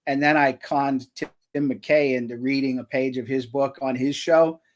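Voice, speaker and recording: A man's voice: steady tone, measured pace. A man, an author and audiobook narrator, chatting casually on a live stream about his home recording setup, a little self-deprecating, with frequent restarts. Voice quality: slightly high voice. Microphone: cheap stand mic in a foam sound box.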